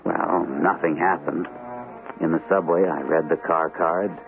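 An actor playing an anxious, shell-shocked army captain from the First World War in a radio drama, speaking hesitantly. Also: male voice, rumbling low, steady tone, creaky, exaggerated voice. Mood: uneasy. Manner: hesitant, halting delivery. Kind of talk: old-time radio drama acting with first-person narration. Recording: muffled, tinny old radio broadcast, faint hiss.

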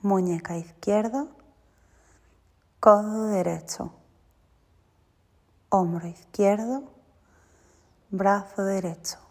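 A woman guiding a yoga nidra relaxation as a teacher, giving short, soothing, deliberate instructions. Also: mid-range voice, a little mellow. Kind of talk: guided yoga nidra meditation. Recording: long reverberant hall-like echo.

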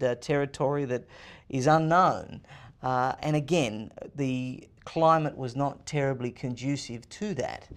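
A confident man in his thirties with an Australian accent, a guest answering interview questions in emphatic, list-like phrases. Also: mid-range voice. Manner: emphatic, confident, rhetorical lists. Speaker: podcast guest.

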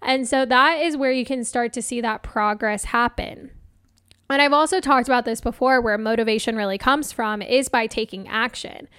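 A woman hosting a solo motivational podcast as a coach, chatting casually and encouragingly, her voice slightly hoarse. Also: fairly high pitch, moderate pace, a bit bright, dry recording, clean studio sound. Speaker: podcast host and life coach.

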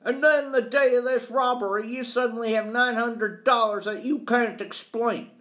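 A man's voice: very high voice, fairly even intonation, moderate pace, pitched above the performer's own voice. A man voicing a blustering, accusing male employer in a storybook, with a harsh, sneering delivery. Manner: sneering, forceful, badgering.

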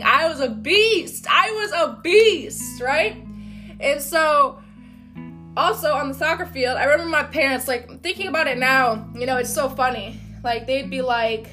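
A loud, upbeat woman, hosting her own motivational podcast. Her voice sits high and bright, and she talks in an encouraging, casual way. Soft guitar music plays faintly behind her. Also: moderate pace. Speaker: podcast host. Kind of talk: motivational solo podcast talk.

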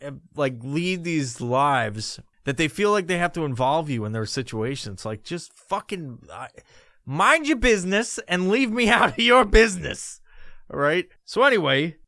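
A man's voice: on the high side, pushed and bright, dry recording, studio quality. A middle-aged man from New York hosting a podcast, swearing constantly and laughing through rambling stories. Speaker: podcast host. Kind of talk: profane storytelling monologue.

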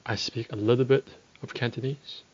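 A man teaching a podcast language lesson, speaking slowly and deliberately and repeating phrases for learners. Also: mid-range voice, steady tone, dull audio.

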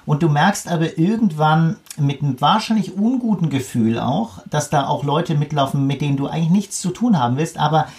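A man's voice: a little high, expressive, a little fast, few pauses, a little mellow. A man co-hosting a casual discussion, reflecting on the topic and agreeing with the others. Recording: off-mic, from a distance.

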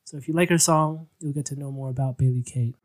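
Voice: mid-range voice, fairly even intonation, fast. An effusive, encouraging radio host speaking casually in an interview, praising and thanking the guest.

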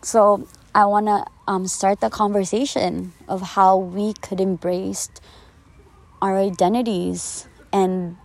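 A woman in her twenties hosts a personal podcast on her own. Her voice sits at a middle pitch for a woman. She talks in an earnest, conversational and reflective way. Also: level tone, slow pace, gravelly, a little mellow. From the Philippines, later the United States. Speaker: podcast host.

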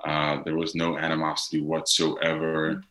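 A man's voice: rumbling low, completely flat delivery, normal speed, sharp tone, reverberant. A man, a native Dutch speaker, talking casually as an actor being interviewed, rambling with many fillers.

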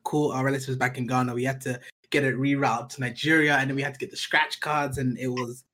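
A man's voice: medium pitch, level tone, quick pace, pushed and bright. Enthusiastic male podcast host who stops to explain things to listeners. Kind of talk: casual podcast chat.

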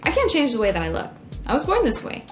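Young female, American, expressive podcast essay narrator, higher-pitched voice, roomy sound. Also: fast talker. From United States. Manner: expressive, acts out quoted remarks. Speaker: podcast host. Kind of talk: scripted personal essay narration. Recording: roomy echo, faint music.

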